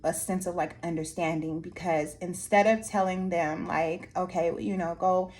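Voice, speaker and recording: A woman who trains art teachers, losing her voice and sounding hoarse, talking slowly with many restarts. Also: on the low side, fairly even intonation, darkish tone. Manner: slow, halting, many restarts and fillers. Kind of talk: reflective casual monologue to viewers. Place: Anchorage area after workshops.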